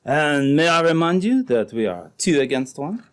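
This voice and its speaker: A man playing a smooth-talking, scheming Frenchman in an old-time radio drama, phrasing things formally. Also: fairly high pitch, very animated, moderate pace. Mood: smoothly bargaining.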